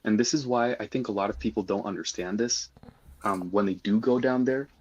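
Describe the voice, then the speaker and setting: A man's voice: lowish voice, a little fast, a little mellow, slightly rough, highs cut off. A man giving earnest, cautionary advice in a casual discussion. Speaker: guest.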